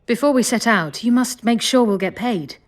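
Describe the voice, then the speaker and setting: An actress playing an aloof, self-assured sorceress in a fantasy game, speaking in a measured, imperious way. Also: female voice, a little high, animated, slight background noise, close to an everyday voice. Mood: cool, composed. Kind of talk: fantasy video game cutscene acting.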